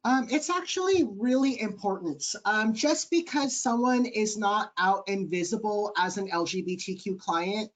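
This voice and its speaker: A man with a light, high-sounding voice, answering webinar questions earnestly with many ums over a video call. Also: normal speed, few pauses, fairly bright tone. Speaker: webinar panelist.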